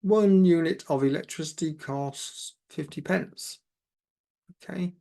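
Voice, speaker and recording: A man with a croaky voice, working through exam maths questions aloud step by step as a tutor. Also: fairly high pitch, measured pace. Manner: steady step-by-step, talks himself through sums.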